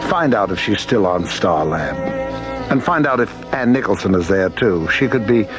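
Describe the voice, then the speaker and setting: A man acts out a scheming villain of a sci-fi radio play. His lowish voice swings theatrically up and down with oily, self-satisfied courtesy. Music plays behind him. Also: lively intonation, moderate pace, lightly acted. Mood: smug scheming. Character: suave, scheming villain, supervisor of a space mortuary. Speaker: radio drama actor. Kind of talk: theatrical radio drama acting.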